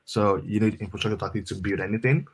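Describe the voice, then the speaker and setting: A man's voice: on the low side, flat delivery, rapid-fire, slightly rough. A man moderating a panel discussion in a casual, filler-heavy way.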